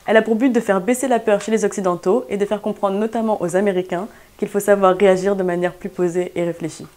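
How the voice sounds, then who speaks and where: A woman's voice: mid-range voice, steady tone, quick pace, a little mellow. A young woman explaining news items in a student bulletin, in a scripted, explanatory way. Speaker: news correspondent.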